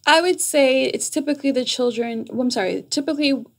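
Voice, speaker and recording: A middle-aged woman talking conversationally as an interview guest, explaining things in an animated way with a slightly husky, creaky voice, close on a studio mic. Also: high-pitched, brisk. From Jamaica, raised in New York. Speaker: guest, psychotherapist. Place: small foam-treated podcast studio. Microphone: close boom-arm studio microphone.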